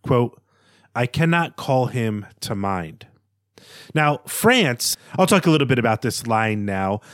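Male podcast co-host, measured and scholarly, slightly halting, mid-pitched, very dry sound. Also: sing-song, fairly bright tone, no room sound. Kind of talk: literary podcast analysis.

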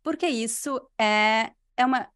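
A Brazilian woman with a mixed Brazilian Portuguese accent, a language teacher answering interview questions in clear, unhurried speech. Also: a little high, a bit bright. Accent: Brazilian Portuguese, mixed regional. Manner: clear, unhurried diction, completes every phrase.

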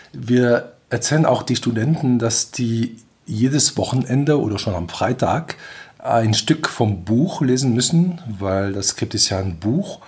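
A man's voice: mid-range voice, a little mellow. A middle-aged man from Belgium with a French accent, a professor answering interview questions, explaining step by step with frequent hesitations. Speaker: professor of applied mechanics.

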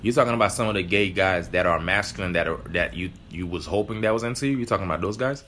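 A man's voice: low-pitched, fast, pushed and bright, slight background noise. A male host in casual interview chat, who cuts in often with "hold on" and "wait.". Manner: interrupts, says "hold on" a lot.

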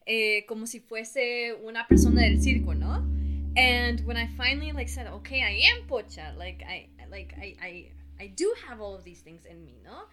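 A woman's voice: a little high, normal speed, a bit bright, faint music behind. A woman co-hosting a podcast in casual chat, mixing Spanish phrases into her English and affirming her partner often. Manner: affirming, frequent "uh-huh", mixes Spanish in. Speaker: podcast co-host.

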